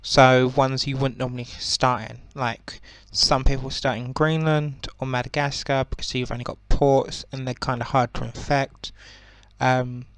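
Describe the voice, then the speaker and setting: A young man with a croaky voice giving relaxed, rambling commentary on a strategy game, with many ums and self-corrections. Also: medium pitch, unhurried. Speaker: gaming channel host.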